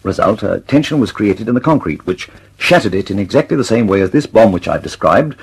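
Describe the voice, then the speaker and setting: Hesitant inventor, low gravelly husky voice. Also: male voice, animated, a little fast, full character voice, low-quality recording. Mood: earnest, hesitant. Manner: hesitant, polite, mumbling fillers. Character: absent-minded, mild male aircraft designer and inventor. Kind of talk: old radio drama acting. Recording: old radio drama recording.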